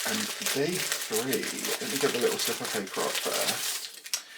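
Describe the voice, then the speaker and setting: A man's voice: medium pitch, measured pace, heavy background noise. A man from southern England with a southern English accent, chatting casually to camera in a vlog at home, rambling with self-deprecating asides and sounding a little nasal and bunged up. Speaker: knitting vlogger. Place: small cluttered room at home, indoors. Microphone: camera mic.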